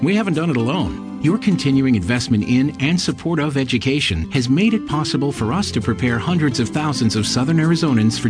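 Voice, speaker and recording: A man reading an earnest scripted radio appeal. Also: medium pitch. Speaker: announcer.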